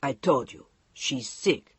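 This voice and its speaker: A weary middle-aged businessman answers in a lowered, clipped, impatient voice, as an audiobook reader puts him on. The sound is husky and dry, close to the mic. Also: expressive, takes it slowly, dry recording, full character voice. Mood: exasperated. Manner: curt, sighing, impatient. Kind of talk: audiobook character voice.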